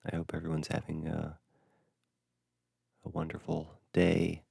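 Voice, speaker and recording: Very deep, creaky, slow-spoken male meditation guide. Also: monotone, no echo, clean studio sound. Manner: gentle, hushed, halting with long pauses. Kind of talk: unscripted dharma talk for guided meditation.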